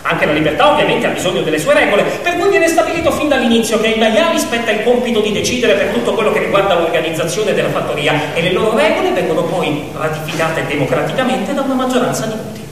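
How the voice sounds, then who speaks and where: Expressive stage narrator in a very echoey hall. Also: medium pitch, quick pace, few pauses. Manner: expressive, conversational asides to the audience. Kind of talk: theatrical storytelling of an animal fable. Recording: echoey hall, distant mic, faint noise.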